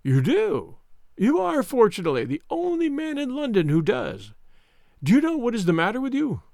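Man putting on a gloating high-pitched villain. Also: huge pitch swings, moderate pace, gravelly, darkish tone, dry recording, studio quality, heavily acted. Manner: taunting, insistent, mock-concerned. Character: gloating, sneering, cruel villain, a smug man. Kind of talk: dramatized audiobook reading.

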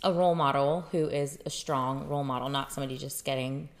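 A woman's voice: extremely low pitch, steady tone, fast talker. A woman in her thirties co-hosting a podcast, teasing her partner and laughing in casual chat. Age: 30s. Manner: teasing, giggly, lots of fillers. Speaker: podcast co-host.

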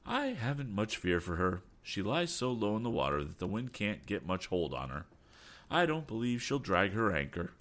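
A man voicing a bold, confident sea captain in an adventure storybook, with a brisk, commanding manner. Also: lowish voice, very animated, a bit bright, voice pushed up. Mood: calm, assessing. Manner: urgent, exclamatory, commanding. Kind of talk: audiobook adventure character.

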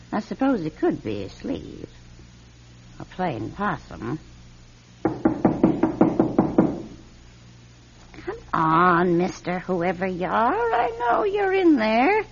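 An actress playing a nosy, prim, stubborn spinster neighbour in a radio drama, with fussy, affectedly genteel manners. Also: female voice, on the high side, sing-song, slow pace, gravelly, a little hiss or hum, heavily acted. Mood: determined, sly. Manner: fussy, mannered, flustered stammer giving way to pointed formality. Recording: dull, lo-fi radio drama recording.

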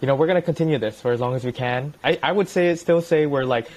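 Young man, podcast co-host, casual halting talk, mid pitch. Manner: halting, repeats words, relaxed.

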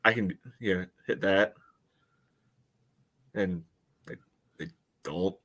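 Male soccer podcast co-host, lowish voice, easygoing analysis, measured and conversational. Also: fairly bright tone.

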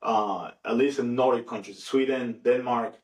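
A man from Venezuela with a Venezuelan accent, a native Spanish speaker, talking casually and informally in an animated way. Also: medium pitch, normal speed. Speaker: podcast participant. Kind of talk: casual conversation. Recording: echoey room, distant mic.

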